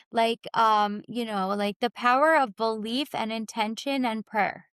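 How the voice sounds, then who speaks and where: A woman with a raspy voice, telling her own story candidly and informally as a podcast guest. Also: fairly high pitch, slow, a bit bright, no echo, clean studio sound. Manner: informal, peppered with "like" and "you know".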